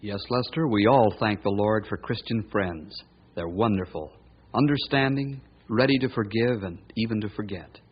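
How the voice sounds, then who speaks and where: A man with a husky voice delivering closing narration as an old-time radio announcer. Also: on the low side, moderate pace, highs cut off.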